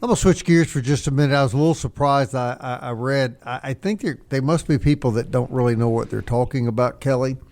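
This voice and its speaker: A man's voice: medium pitch, quick pace, hardly any pauses, a little mellow. A man hosting sports talk radio in a casual, conversational way.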